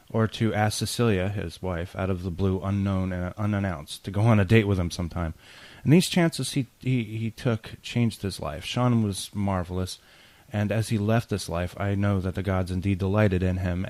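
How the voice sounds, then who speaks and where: Male host, low gravelly voice, dry sound, reading a eulogy. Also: a little mellow, dry recording.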